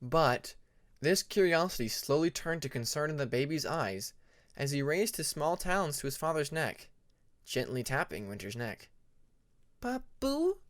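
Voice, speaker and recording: A man narrating a fantasy story as an audiobook, with expressive scripted delivery. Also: a little high, normal speed, no room sound, clean studio sound. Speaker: audiobook narrator of fan fiction.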